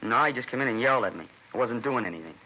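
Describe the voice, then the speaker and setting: An actor playing an evasive, streetwise seventeen-year-old American delinquent in slangy, grudging radio drama dialogue. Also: male voice, medium pitch, expressive, racing, close to an everyday voice. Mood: evasive, defensive. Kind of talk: 1950s radio drama acting. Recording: dull, noisy vintage radio recording.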